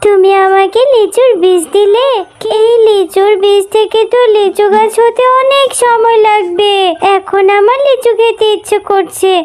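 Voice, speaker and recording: A woman's voice: very high voice, fairly even intonation, a little slow, darkish tone. A woman voicing a sweet, eager young animal girl in a children's cartoon, earnest and pleading in a childlike way. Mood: impatient. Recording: music behind the voice.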